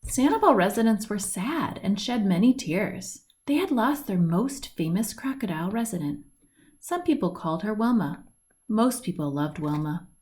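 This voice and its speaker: Woman reading a storybook with lively intonation. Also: mid-range voice, moderate pace. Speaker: reader of a children's picture book. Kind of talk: read-aloud storybook narration. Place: indoors at home, at a wooden table by a window.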